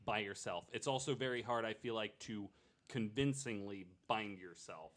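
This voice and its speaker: Low-voiced man in casual discussion. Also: normal speed, a bit bright. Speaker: podcast panelist.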